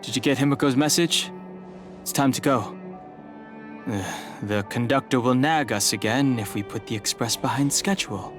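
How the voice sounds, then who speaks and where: A man's voice: mid-range voice, moderate pace, lightly acted. A man playing a serious, level-headed young man in a video game, restrained and matter-of-fact. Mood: serious, exasperated. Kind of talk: video game character acting. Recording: music behind the voice.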